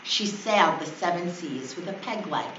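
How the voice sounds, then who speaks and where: A woman's voice: lowish voice, expressive, moderate pace. A woman reading a children's story aloud as a teacher would to her class. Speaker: fourth grade teacher. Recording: distant, echoey, dull, noisy recording.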